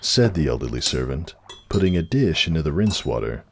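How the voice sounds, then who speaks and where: Very deep, expressive male storyteller. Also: a little fast, creaky, slight background noise. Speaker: narrator. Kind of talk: dramatic storybook narration.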